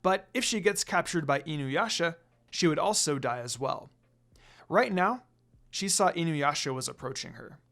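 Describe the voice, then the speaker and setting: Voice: medium pitch, lively intonation, moderate pace, fairly bright tone. A narrator reading a fan fiction story aloud in a dramatic storytelling style.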